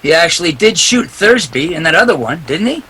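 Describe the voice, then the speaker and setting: An actor playing a tough, wisecracking private detective in a 1940s radio drama, clipped and defiant. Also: male voice, a little high, big pitch swings, brisk, bright, forceful tone, husky, heavily acted. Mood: urgent, pressing. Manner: hard-boiled, clipped, defiant. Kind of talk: 1940s radio drama acting with first-person narration.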